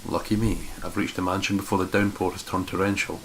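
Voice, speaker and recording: A man's voice: low voice, flat delivery, quick pace, noisy background. A young man reading game text aloud as a let's play co-host, theatrical, with profane joking asides.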